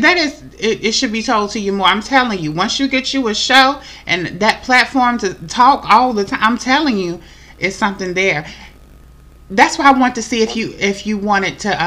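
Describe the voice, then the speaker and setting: A chatty, encouraging podcast host leads a casual interview in a bright voice. They keep coming back to the same reassuring phrases as they talk. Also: medium pitch, expressive, moderate pace. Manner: chatty, encouraging, repeats itself.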